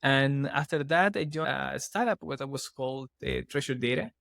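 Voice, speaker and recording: A man's voice: medium pitch, normal speed, gravelly, a bit bright, dry recording. A man from Chile speaks English with an earnest, explaining manner, often restarting his sentences. His voice is recorded dry and close on a podcast microphone. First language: Spanish. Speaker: guest, startup CEO. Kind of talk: casual podcast interview.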